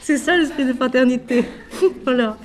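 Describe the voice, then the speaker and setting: A woman as a Franciscan sister with a cold, higher-pitched voice, expressive speaker, asks rhetorical questions, author talk. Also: normal speed. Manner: expressive, shifting from loud emphasis to quiet asides. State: has a cold. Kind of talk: animated talk about her book.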